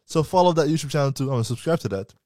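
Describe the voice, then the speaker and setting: A man's voice: a little high, very fast, no room sound. Young male podcast co-host, casual and plugging links, dry close mic. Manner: relaxed, laughing, promotional. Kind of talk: casual live-stream chat.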